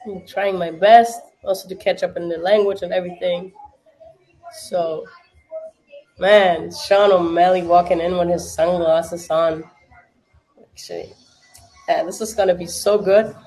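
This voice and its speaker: A woman's voice: lowish voice, steady tone, unhurried, long pauses, mellow, faint music behind, low-quality recording. Young German-accented woman, casual stream host, loose and chatty, lots of fillers. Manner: chatty, many fillers, answers chat. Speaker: livestream watch-party host. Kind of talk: casual livestream chat.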